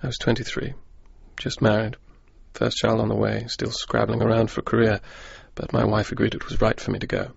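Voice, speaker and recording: Gravelly young dead soldier. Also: male voice, fairly low pitch, completely flat delivery, brisk, no room sound, highs cut off, full character voice. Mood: subdued, haunted. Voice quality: gravelly, husky. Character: young dead soldier of twenty-three, newly married, wistful. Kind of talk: radio drama monologue.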